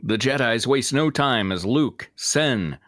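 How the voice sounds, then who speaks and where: A man's voice: mid-range voice, lively intonation, slow pace, a bit bright, no room sound, clean studio sound. A man narrating a fan-fiction script aloud in an informal way as a show co-host.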